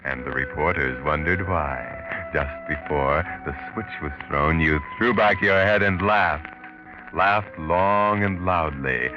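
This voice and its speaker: Ominous, taunting American storyteller of murder. Deep voice, deliberate 1940s radio delivery over prominent music. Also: male voice, full character voice. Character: sinister, mocking, all-knowing male narrator of murder tales. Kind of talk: 1940s radio mystery narration. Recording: muffled 1940s radio recording. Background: dramatic music.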